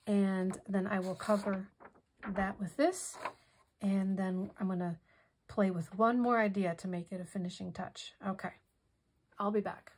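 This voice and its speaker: A hoarse woman demonstrating a craft project in casual tutorial style, softly thinking aloud and drifting into whispered asides. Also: medium pitch, steady tone, measured pace. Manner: soft-spoken, musing, drifts into whispered asides.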